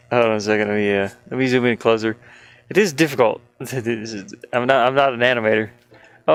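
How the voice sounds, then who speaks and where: A man casually thinking aloud in an amused, rambling way while commentating on his own game-building screen share. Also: fairly low pitch. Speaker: indie game developer.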